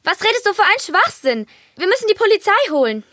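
Casting: bratty, jumpy sister, piercingly high and bright, for a kids' audio play. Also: female voice, big pitch swings, fast talker, heavily acted. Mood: panicked. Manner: urgent, emphatic. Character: bratty, easily frightened girl, the older sister. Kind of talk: children's radio drama acting.

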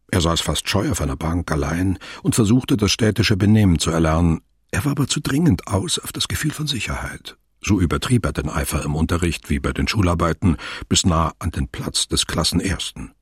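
An actor playing a sober narrator, reading literary radio drama narration in a measured, matter-of-fact way. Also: male voice, sits low, animated, slightly rough, no room sound, clean studio sound, full character voice. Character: sober male narrator of a biography.

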